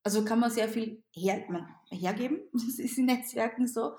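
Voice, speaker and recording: Austrian-accented female teacher, non-native, higher voice, roomy echo, lively interview answers. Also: normal speed. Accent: Austrian German. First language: not German. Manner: animated, repeats words and restarts.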